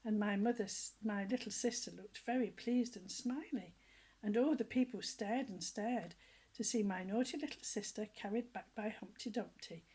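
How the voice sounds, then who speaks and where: An older woman reading a children's story aloud with gentle, lively storytelling, often dropping to soft whispers and asking her young listeners questions. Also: mid-range voice, normal speed, a little hiss or hum.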